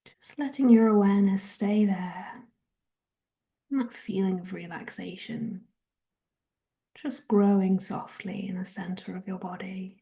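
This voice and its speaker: A woman guides a meditation in a gentle, half-whispered voice. Her tone is extremely soft-edged and warm, with no brightness at all. She moves very slowly through each soothing instruction, at a medium pitch for a woman. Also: fairly even intonation, creaky. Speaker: guided relaxation and meditation host.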